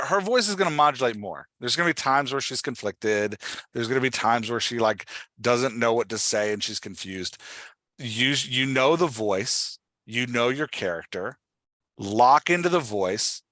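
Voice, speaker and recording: A man's voice: mid-range voice, animated, normal speed, bright, forceful tone, no room sound. A man coaching someone in a casual one-on-one talk, instructive and prodding with questions. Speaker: podcast host and dialogue writing coach.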